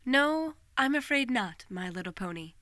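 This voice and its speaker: A woman plays a regal pony princess in a voiced story. Her voice is bright and crisp in a dry recording. She speaks formally, with measured, composed authority. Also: fairly high pitch, expressive, no echo. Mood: politely amused. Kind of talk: voiced fan fiction reading.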